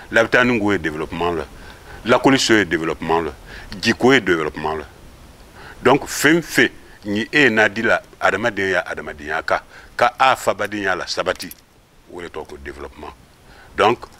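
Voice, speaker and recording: An older man from Guinea speaks close to the camera in a small room. His voice sits low but has a bright edge. He sets out his points earnestly, one after another. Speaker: interviewee. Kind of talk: vox pop answer. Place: indoors, small room with curtains.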